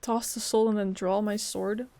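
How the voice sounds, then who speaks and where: A woman's voice: mid-range voice, level tone, normal speed, mellow. A woman game streamer giving casual, playful, wry reaction commentary.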